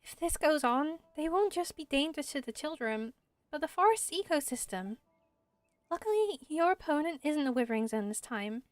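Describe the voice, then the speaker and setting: A woman's voice: sits high, expressive, moderate pace, no room sound. A woman voicing an earnest, caring young female forest ranger in video game dialogue, polite and encouraging. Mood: explaining, confident. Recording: music behind the voice.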